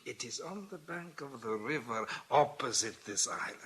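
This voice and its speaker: An actor playing an imperious, jovial Italian prince with an Italian accent and an effusive, commanding manner in a radio drama. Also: male voice, lively intonation, moderate pace, a bit bright, a little hiss or hum, lightly acted. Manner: booming, effusive, commanding, often laughing.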